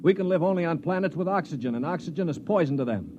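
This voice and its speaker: An actor playing a principled, weary injured man in a 1950s radio drama, speaking earnestly and deliberately. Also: fairly high pitch, expressive, lightly acted. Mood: earnest, indignant. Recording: muffled, noisy 1950s radio recording.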